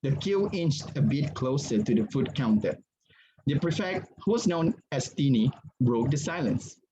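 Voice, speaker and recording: A man's voice: medium pitch, lively intonation, measured pace. A man narrating a story as a dramatic reading. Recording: dull lo-fi sound, background noise.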